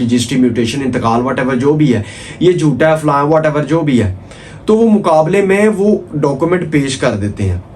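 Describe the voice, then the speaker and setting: A Pakistani man lectures in a somewhat high-pitched voice. He teaches in an informal, didactic style, restating key points and dropping in English legal terms. A little background noise sits behind his voice. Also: darkish tone. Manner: didactic, repeats key points with frequent check phrases. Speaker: law lecturer.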